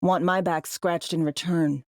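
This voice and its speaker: A woman's voice: fairly low pitch, steady tone, a little slow, darkish tone, dry recording, studio quality. A woman playing a brash, foul-mouthed, world-weary female spy in a video game, talking in clipped, slangy, sardonic lines. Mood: irritated, blunt. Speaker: undercover field agent in a sci-fi game. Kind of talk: video game character dialogue.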